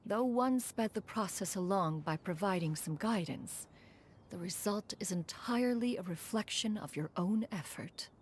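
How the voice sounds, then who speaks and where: A woman voicing a dignified, aloof immortal crane mentor in a video game, speaking in formal, archaic, measured phrases. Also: medium pitch, lively intonation, slight background noise, natural voice. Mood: measured, wise. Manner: formal, archaic, measured, calls herself one.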